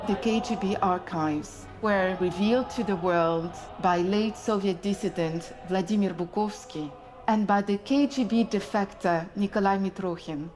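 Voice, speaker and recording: A woman speaks in a mid-range voice, deliberate and firm, landing hard on key words one by one. Faint music sits softly behind her. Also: mid-range voice. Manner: emphatic, slow, stressing single words. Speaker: documentary speaker. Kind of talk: deliberate documentary statement.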